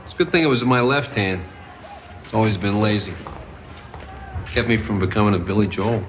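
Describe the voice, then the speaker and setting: An actor playing a wry, stubborn convict pianist in a naturalistic television drama. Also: male voice, on the low side, fairly quick, a little hiss or hum, close to an everyday voice. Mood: wry, guarded. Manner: sardonic, stubborn. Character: defiant, wry male convict pianist, wrongly imprisoned.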